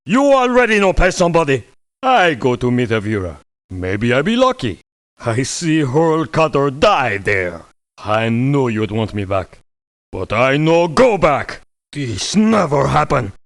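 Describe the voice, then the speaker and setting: Stern foreign merc from a game, slow terse broken english, pitch moves around. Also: male voice, fairly bright tone, exaggerated voice. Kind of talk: video game character voice lines.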